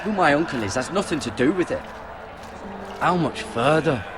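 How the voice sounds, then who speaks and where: Guy voicing an earnest young squire, respectful, bit high and animated, fantasy game with music under it. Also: normal speed, close to an everyday voice. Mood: calm, placating. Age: young adult. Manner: earnest, deferential. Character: earnest, dutiful young male squire of humble birth. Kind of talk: medieval fantasy game voice acting. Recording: music behind the voice.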